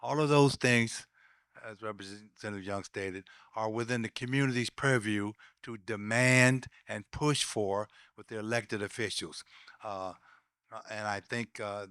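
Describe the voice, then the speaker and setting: An American man speaking as a panelist, laying out points deliberately with halting restarts. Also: lowish voice, fairly even intonation, a bit bright, clean tone, no echo, studio quality. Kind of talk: prepared points in a panel discussion.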